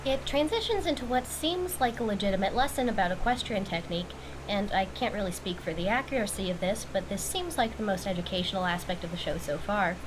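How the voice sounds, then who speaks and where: A woman's voice: mid-range voice, a little fast. Wry woman commentator over background noise. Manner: wry, critical. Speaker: video commentator reviewing a cartoon. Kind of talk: recap commentary.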